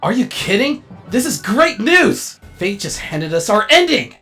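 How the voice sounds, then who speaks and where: A man playing a vain, melodramatic robot actor and director in a comedic animated comic dub, with an excitable, grandiose delivery. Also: sits high, measured pace, gravelly, faint music behind, full character voice. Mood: exasperated, excitable.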